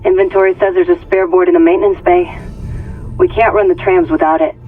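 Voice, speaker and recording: A woman playing a sharp, anxious technical specialist in a video game, urgent and plain-spoken. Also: on the low side, steady tone, fairly quick, narrow, tinny audio, soft background music, close to an everyday voice. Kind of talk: dramatic video game acting.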